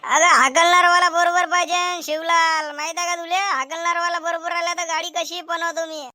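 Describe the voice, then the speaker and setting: Woman as a bossy cartoon farmer man, extremely high pitch, bright edge, Vidarbha Marathi accent, loud rustic grumbling. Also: little pitch movement, normal speed, no echo, clean studio sound. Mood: boastful. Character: grumbling, bossy male village farmer with a tractor. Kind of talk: homemade rural comedy cartoon dialogue.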